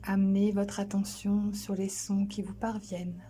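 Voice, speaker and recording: Very slow, mellow woman guiding relaxation. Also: medium pitch, little pitch movement, husky, soft background music. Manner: soothing, slow, gentle, with long pauses. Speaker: yoga nidra instructor. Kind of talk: guided relaxation for sleep.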